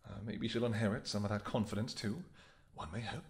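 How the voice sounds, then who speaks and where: A man voicing a protective royal vampire husband in a scripted audio roleplay, soft-spoken and affectionate, with hesitant pauses. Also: fairly low pitch, steady tone, natural voice. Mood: tender.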